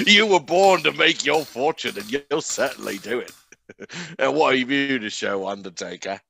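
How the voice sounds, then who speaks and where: A man's voice: mid-range voice, lively intonation, measured pace, vocal fry, bright, forceful tone, a little hiss or hum, exaggerated voice. A man playing a sly, chuckling junk dealer of seventy in a radio play. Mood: gleeful. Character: gray-haired old rascal, wheezy gleeful junk dealer, seventy.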